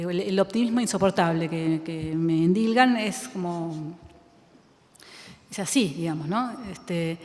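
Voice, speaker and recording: A woman writer from Argentina with an Argentine accent, speaking reflectively in a public conversation, thinking aloud with many fillers and restarts and frequent laughter. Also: mid-range voice. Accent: Argentine Spanish. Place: school auditorium with audience. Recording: reverberant hall.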